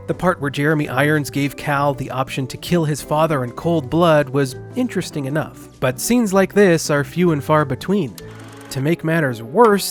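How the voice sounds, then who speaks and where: A man delivering a scripted comedic video essay as a film reviewer, in a sardonic, emphatic and informal way. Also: a little high.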